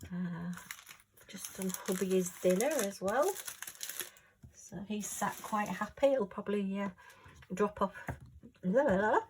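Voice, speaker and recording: A woman chatting casually at home while she works on a craft, rambling and homely with lots of fillers, her voice a little hoarse. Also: medium pitch, unhurried, long pauses, a little hiss or hum, low-quality recording. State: slightly hoarse. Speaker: crafting vlogger.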